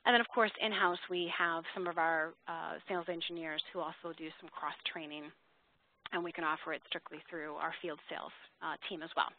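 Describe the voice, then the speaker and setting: Low-pitched woman on a tinny phone line. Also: steady tone, a little fast, slight background noise. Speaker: webinar presenter. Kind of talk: informal product presentation answering questions.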